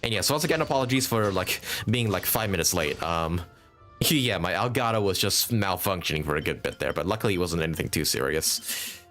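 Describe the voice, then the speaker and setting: A man's voice: low voice, expressive, a little fast, a bit bright, faint music behind. A man giving casual, rambling live commentary as he streams a game, thinking aloud and chatting to viewers. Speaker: game livestreamer.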